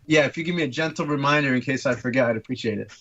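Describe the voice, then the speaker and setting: A man's voice: medium pitch, rapid-fire, a bit bright, lo-fi sound. A man in his thirties from Turkey, guest on a casual podcast, explaining things with many ums and uhs. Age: 30s. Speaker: guest orthopaedic surgeon.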